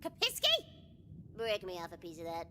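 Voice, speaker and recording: A woman voicing an excitable, bossy young girl who plays theatrical game master in a video game. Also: on the low side, moderate pace, a little hiss or hum, exaggerated voice. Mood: bossy, playful. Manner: playful, dramatic, bossy.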